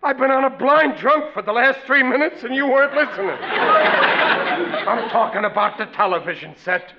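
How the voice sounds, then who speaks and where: A man's voice: extremely high pitch, lively intonation, moderate pace, a crowd in the background. An American actor playing a blustering, wheedling family father in a classic radio comedy, melodramatic and exasperated. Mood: indignant. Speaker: sitcom lead actor. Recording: dull, noisy old radio broadcast.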